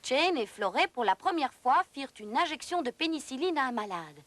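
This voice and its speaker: Female narrator, high pitch, scripted storytelling, educational cartoon, music behind. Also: very animated, a little fast, fairly bright tone, a little hiss or hum.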